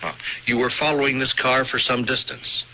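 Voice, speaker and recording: An actor playing a plainspoken, persistent state trooper in a radio drama, with a halting, polite, probing manner. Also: male voice, mid-range voice, flat delivery, close to an everyday voice. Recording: dull, slightly noisy vintage radio recording.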